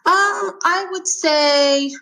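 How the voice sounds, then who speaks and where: A woman in her thirties from Sweden, answering interview questions thoughtfully with frequent pauses and fillers. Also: very high voice, creaky, bright, forceful tone. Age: 30s. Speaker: guest.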